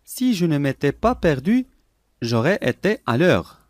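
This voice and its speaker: Male lesson reader: deliberate, clear, repeats each phrase, animated intonation. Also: on the high side, no room sound, clean studio sound. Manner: clear, deliberate, each sentence said three times. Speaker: language lesson voice. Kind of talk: slow repeated phrase reading for learners.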